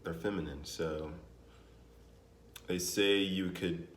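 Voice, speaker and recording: A young man with a naturally deep voice, talking casually to his vlog audience in a relaxed, rambling way with many fillers. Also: slow, slight background noise. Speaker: vlogger. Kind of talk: casual vlog talk to camera. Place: home in Denver. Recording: echoey room with faint ambience.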